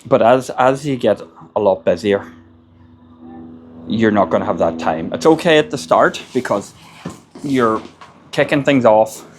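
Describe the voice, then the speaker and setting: A middle-aged Irish man with a low voice talks through hard-won business lessons in a plain, casual way. He repeats words as he works out what to say next. Faint ambient noise sits under the recording. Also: animated, a little slow, long pauses. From Ireland. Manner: plain-spoken, repeats words while gathering thoughts. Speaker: construction business owner. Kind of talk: casual advice monologue.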